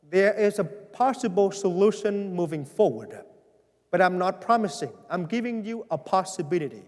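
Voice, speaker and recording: A parish pastor with a Vietnamese accent speaks to his congregation in a high voice, earnest and pleading, with a wry, gentle humor. The sound has the long, lingering reverb of a large church. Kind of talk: town hall address to parishioners.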